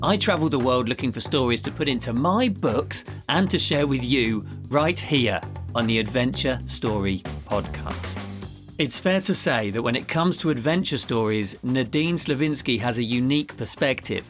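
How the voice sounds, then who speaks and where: A man presents a podcast about adventure writing in a medium-pitched voice. He shifts between scripted introductions and interview conversation, with faint music in the recording. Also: expressive, normal speed. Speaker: podcast host and adventure novelist.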